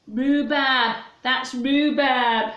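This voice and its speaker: A woman's voice: sits high, fairly even intonation, drawn-out words, echoey room, dull audio. A woman voicing a kindly, firm grandfather in a storybook, with a matter-of-fact, emphatic delivery.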